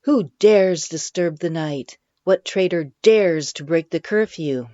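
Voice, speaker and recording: A woman voicing a jealous, domineering male tyrant who shouts furious commands. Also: deep voice, animated, slow, no echo, lo-fi sound. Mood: demanding.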